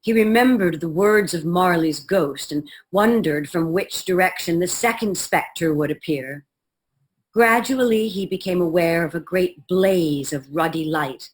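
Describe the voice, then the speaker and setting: A woman narrating a scripted radio play. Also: on the low side.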